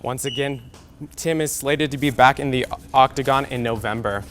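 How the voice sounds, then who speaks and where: A man's voice: medium pitch, normal speed, a bit bright, soft background music. A young man anchoring a scripted TV sports newscast. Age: young adult.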